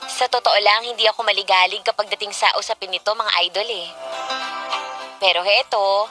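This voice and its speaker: A woman's voice: mid-range voice, fairly even intonation, fairly quick, music in the background, lightly acted. A woman playing an inexperienced, exasperated young girlfriend who confides dramatically in a radio-style drama. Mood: confiding. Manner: dramatic, gossipy, exclamatory. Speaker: drama narrator and lead actress.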